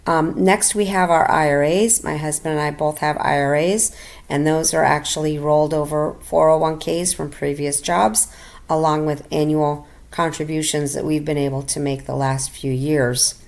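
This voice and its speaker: Slightly raspy, gravelly middle-aged woman. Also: sits low, steady tone, darkish tone. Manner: halting, many ums and restarts. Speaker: personal finance vlogger. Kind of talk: casual voiceover narration over a screen recording. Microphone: home voiceover mic.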